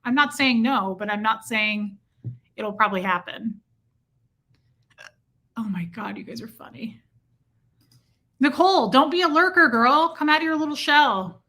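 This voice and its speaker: Woman livestream host, casual and chatty, slightly high bright voice. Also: expressive, measured pace, long pauses. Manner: chatty, reacts to viewer comments. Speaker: livestream host reading chat. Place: home room with a heater.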